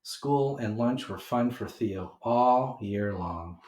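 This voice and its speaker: A man's voice: lowish voice, slow pace. Man reading a storybook in a roomy space. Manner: animated, emphatic delivery for young listeners. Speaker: storyteller reading a children's book aloud. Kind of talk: expressive children's read-aloud narration. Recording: roomy homemade recording.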